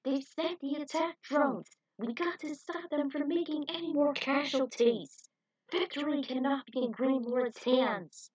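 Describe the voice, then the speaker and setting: A woman's voice: high-pitched, steady tone, measured pace, darkish tone, highs cut off, pitched above the performer's own voice. Woman as an angry, barking army general. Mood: grim, alarmed.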